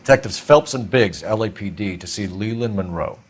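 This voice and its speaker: An actor playing an earnest, stern young police detective in a 1940s crime game, formal and pressing. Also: male voice, lowish voice, expressive, a little fast, husky. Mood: businesslike. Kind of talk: dramatic video game acting.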